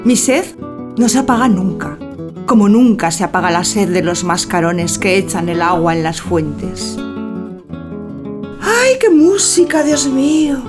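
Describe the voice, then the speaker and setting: An actress playing a sensual, haughty young bride in poetic stage drama, ardent and languid in delivery. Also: female voice, mid-range voice, sing-song, measured pace. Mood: sensual, rapturous. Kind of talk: poetic theatrical audio drama. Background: plucked guitar music.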